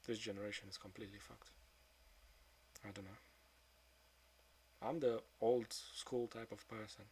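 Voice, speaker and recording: Young man, early twenties, husky voice, flat monotone delivery, confessional vlog, blunt swearing, quiet trailing-off phrases. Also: fairly low pitch, a little slow. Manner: subdued, trailing off into quieter mutters; frank, with frequent swearing. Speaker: vlogger. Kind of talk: confessional monologue.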